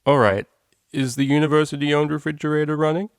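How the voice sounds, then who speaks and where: A brash young unicorn DJ mare from a storybook, acted out by a man. The talk is slangy and teasing, full of exclamations and quick to fluster, with the pitch pushed up in a dry recording. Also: steady tone, clear, resonant tone, dry recording, natural voice. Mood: mock-serious prank.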